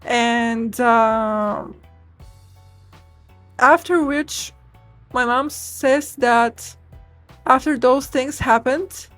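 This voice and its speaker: Young woman from Bulgaria, halting chatty storytelling. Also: a little high, fairly bright tone, no echo, soft background music. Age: 20s. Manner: rambling, hesitant, with many fillers and restarts. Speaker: vlogger. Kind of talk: casual personal storytelling to camera.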